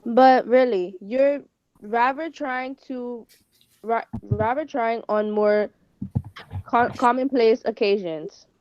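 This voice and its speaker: A female reader plays a gracious society mother who is tried by her rude son. The voice is rather high and close, and the lines come haltingly, as read from a page. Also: a little mellow, clean tone, no echo, dull audio. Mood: chiding. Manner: halting read-aloud with stumbles.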